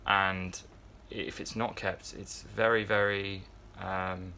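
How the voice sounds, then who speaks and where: A man's voice: sits low, flat delivery, slow pace, bright, forceful tone, a little hiss or hum. A man in his twenties, chatting casually to a phone camera in a yacht crew room, rambling with lots of fillers and restarts. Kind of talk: casual vlog chat to camera. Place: yacht crew area, indoors, fan nearby. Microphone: phone camera at arm's length.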